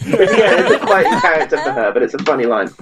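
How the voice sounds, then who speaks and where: A man's voice: a little high, very animated, moderate pace, over a noisy crowd. A man chatting casually as a podcast guest, through faulty, muffled audio. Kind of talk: casual chat.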